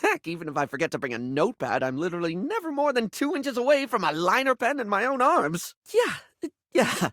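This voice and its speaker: A man's voice: high-pitched, big pitch swings, a little fast, a bit bright, no echo, clean studio sound. A male actor voicing a flamboyant, giddy, chatty bathroom beauty character in a video game, theatrical and gushing, with campy pet names.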